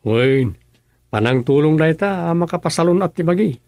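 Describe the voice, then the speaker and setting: A man's voice: a little high, lively intonation, fairly quick, darkish tone, no echo. An actor with an Ilocano accent playing an easygoing, busy rural councilman in a radio drama, talking conversationally with frequent interjections. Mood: earnest, advising. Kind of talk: radio serial drama acting.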